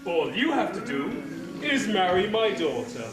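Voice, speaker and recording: A man's voice: sits high, sing-song, a little slow, a crowd in the background. A man playing a scheming, bossy pantomime baron on stage, grandly theatrical and mock-polite. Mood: smug. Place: theatre stage before an audience. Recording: echoey hall, distant mic, noisy.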